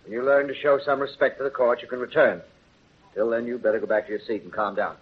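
An actor playing a stern but fair judge in an old radio courtroom drama, clipped and impatient. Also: male voice, mid-range voice, steady tone, quick pace, close to an everyday voice. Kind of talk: 1930s radio acting. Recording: tinny, noisy 1930s radio recording.

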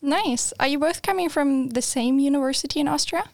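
Young woman, podcast host, high-pitched, upbeat, laughs often, dry close sound. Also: fairly quick, no room sound. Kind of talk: friendly podcast interview.

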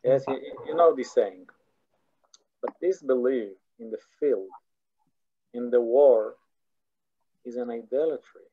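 Israeli-accented man, slow deliberate speech, earnest, stumbles and repeats words, dull lo-fi sound. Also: medium pitch. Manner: earnest, halting, repeats words while searching for English. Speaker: guest scholar. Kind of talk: philosophical interview answers. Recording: dull lo-fi audio.

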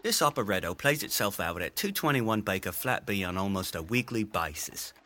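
A man's voice: on the low side, big pitch swings, fairly quick, pushed and bright. A man playing a wordy, theatrical Victorian narrator who addresses the listener in a florid, wry manner. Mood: wryly explanatory. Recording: noticeable ambient background noise.

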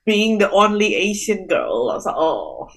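Young woman from indonesia chatting about her teaching work, earnest, lots of you know, middle pitch. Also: wildly swooping pitch, moderate pace, slightly rough. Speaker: early childhood teacher, guest. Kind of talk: casual interview chat.

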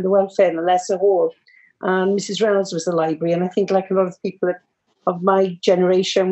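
An older woman with a South Wales accent chats as a guest on a community podcast. Her voice is warm and mellow, and she talks in a lively, forthcoming way. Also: medium pitch, poor audio. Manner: chatty, lively, says she is loud. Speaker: retired teacher, guest.

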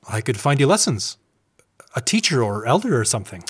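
Husky, earnest, patronising husband. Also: male voice, medium pitch, very animated. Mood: self-satisfied. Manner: earnest, condescending, halting when challenged. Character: well-meaning, patronising, self-satisfied husband, easily flustered. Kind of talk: audio drama acting.

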